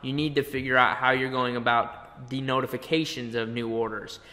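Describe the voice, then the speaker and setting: A young man presenting a conversational software tutorial, explaining step by step with little check-in questions. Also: medium pitch, fairly even intonation, moderate pace. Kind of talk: conversational screen-share tutorial. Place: indoor room, webcam beside screen capture. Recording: long reverberant room echo.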